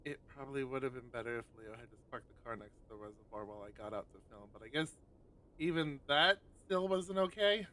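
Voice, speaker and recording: A man's voice: mid-range voice, fairly quick, bright, forceful tone, a little hiss or hum, rough recording. A man hosting a live stream, chatting casually with friends and reading a visual novel's text aloud with rambling asides. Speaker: streamer host.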